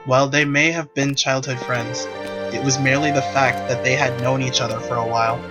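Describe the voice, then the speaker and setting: A man narrates a scripted visual novel tale. His voice sits at an average pitch for a man, and music is clearly heard behind it. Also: flat delivery, brisk.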